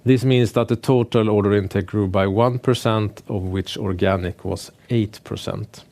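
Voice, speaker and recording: A man with a fairly low voice presents company results as a finance executive. He speaks in a measured, businesslike manner, pausing and hesitating as he works through prepared remarks. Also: steady tone, a little mellow. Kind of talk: earnings call presentation, prepared remarks delivered semi-freely.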